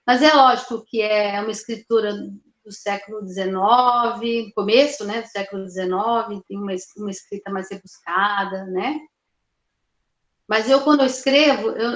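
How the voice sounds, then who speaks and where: An older woman author answers interview questions in a thoughtful, halting way, often restarting words and adding "né". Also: on the high side, highs cut off. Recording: roomy echo.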